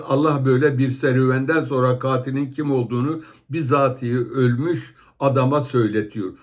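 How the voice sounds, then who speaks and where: A man's voice: mid-range voice, a little mellow. A man giving heated commentary that criticizes religious videos, scolding and asking rhetorical questions.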